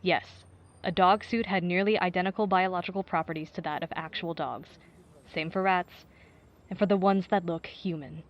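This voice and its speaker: An actress playing a serious, composed police detective giving measured, official answers in an audio drama news interview. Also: female voice, lowish voice, lo-fi sound, lightly acted. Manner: measured, official, matter-of-fact.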